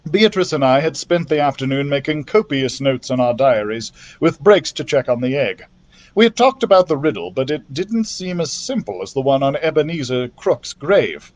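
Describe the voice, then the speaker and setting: A man reading a children's book aloud as scripted narration, in an expressive storyteller's manner. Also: medium pitch, moderate pace. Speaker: library storyteller.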